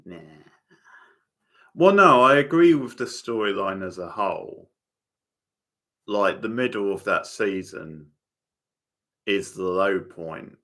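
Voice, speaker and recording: A man's voice: lowish voice, animated, slow, long pauses, a bit bright. A man hosting a livestream watchalong, giving casual commentary and chatting with viewers. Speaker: livestream host.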